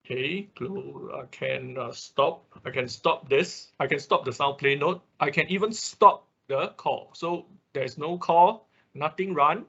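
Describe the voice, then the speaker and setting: A man's voice: fairly high pitch, unhurried, vocal fry, pushed and bright, slightly rough, muffled recording. A man giving an informal step-by-step tutorial as instructor of an online class, often checking in as he explains. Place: online class, screen-share voice-over.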